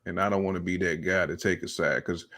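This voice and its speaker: American man, lowish pitch, casual podcast panelist, slangy, repetitive phrasing. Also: fairly even intonation, fast, a bit bright. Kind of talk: casual hip-hop panel chat.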